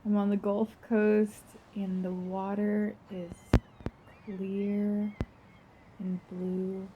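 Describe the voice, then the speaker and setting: A woman narrating a first-person guided visualization in a soft, breathy voice, gentle and dreamy, with long unhurried pauses. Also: mid-range voice, flat delivery, narrow, tinny audio, background noise.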